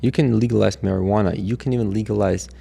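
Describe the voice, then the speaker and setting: A young man from Russia, native Russian speaker, with a low, gravelly voice and a mellow, darker tone. He speaks in a reflective, candid way, easing through personal stories in relaxed podcast talk, with faint music behind him. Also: fast talker. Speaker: guest, catering business owner. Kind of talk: casual podcast conversation.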